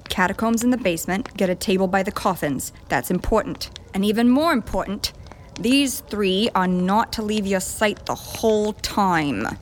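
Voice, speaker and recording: Woman acting bossy noble lady, dry and sardonic, medium pitch, audio drama. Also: a little hiss or hum, natural voice. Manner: brisk, commanding, dry. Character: bossy, sardonic noblewoman giving curt orders.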